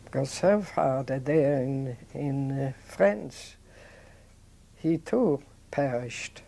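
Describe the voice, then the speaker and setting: An older woman, recalling her childhood in an oral history interview, talking in a halting, reflective way with many restarts. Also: very deep voice, gravelly, mellow. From Poland. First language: Yiddish. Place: indoors, seated on a sofa.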